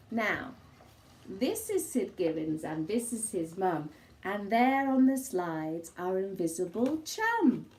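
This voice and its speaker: A woman narrates a children's storybook in a high, soft-toned voice. She takes her time, shaping each phrase expressively with dramatic pauses. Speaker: storybook reader.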